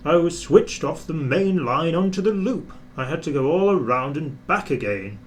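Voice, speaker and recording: A man's voice: a little high, lively intonation, moderate pace, a little hiss or hum, voice pushed up, lightly acted. A man voicing a pompous, proud express engine. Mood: cross. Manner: pompous, condescending. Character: boastful, proud big male express steam engine.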